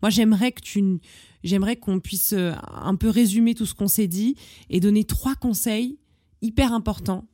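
Female interviewer, mid pitch, supportive, dry close sound. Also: normal speed, mellow, no room sound. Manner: encouraging, frequent short agreements. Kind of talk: casual interview questions.

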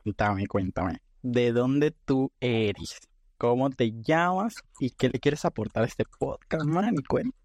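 A man's voice: medium pitch, animated, slow pace, a little mellow, dry recording. A man co-hosts a podcast and keeps the talk playful and theatrical. His voice sits in a middle range. The recording is dry and close. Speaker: podcast co-host. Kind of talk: casual podcast chat.

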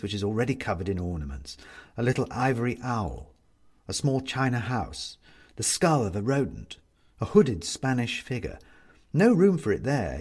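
A man narrating a literary story in an expressive, wry manner with deliberate phrasing. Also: fairly low pitch, slightly rough.

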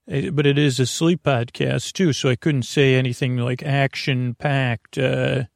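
A man talks in a creaky, fry-laden voice with very little room sound. He hosts a sleep podcast in a lulling, soothing way. His bedtime monologue meanders, with hesitations and self-interruptions as he questions himself. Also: mid-range voice, fairly even intonation, a little slow, no echo, studio quality.

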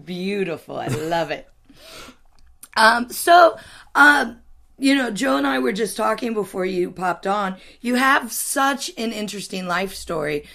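A woman hosts a podcast, chatting casually and playfully with her guest. Her voice sits at a middle pitch for a woman. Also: lively intonation, a little slow, creaky, a bit bright. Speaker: podcast host.

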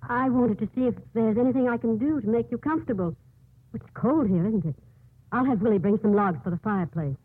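A woman's voice: a little high, brisk, breathy edge, no room sound. A woman playing an earnest, compassionate nun driven by inner conviction, in 1950s radio drama acting style. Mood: gentle concern. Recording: muffled, narrow-band 1950s radio broadcast.

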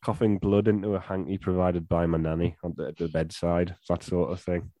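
A man's voice: low voice, little pitch movement, brisk, dark tone, no room sound. A man, bunged up from flu, chatting on a football podcast with dry self-mocking humour. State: stuffed up from flu. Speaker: podcast co-host. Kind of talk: casual football podcast chat.

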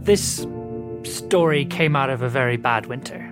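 A man presenting the show and reading a measured, reflective introduction. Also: mid-range voice, music in the background. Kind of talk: scripted narration.

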